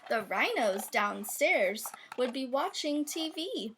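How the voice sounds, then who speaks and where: A high-voiced woman reads a children's picture book in rhyme. She takes it slowly, with big swoops in pitch and playful, expressive emphasis on the sound words. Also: a little hiss or hum. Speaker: storybook reader. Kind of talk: children's picture book read-aloud, rhyming verse.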